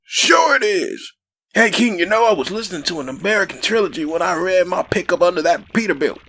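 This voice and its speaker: A man voicing an eager, gullible, heavyset man in a comic dub, with a gravelly, forceful voice. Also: high voice, lively intonation, brisk. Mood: excited, starstruck. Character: Fat Bob, eager, gullible man from Wisconsin. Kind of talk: comic dub character acting. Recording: noticeable background noise.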